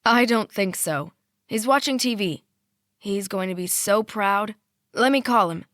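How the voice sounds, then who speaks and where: A woman voicing a sweet, gentle middle-aged mother who speaks softly and reassuringly. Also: medium pitch, a little slow, no echo, studio quality, lightly acted. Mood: warm. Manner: soft, reassuring, apologetic.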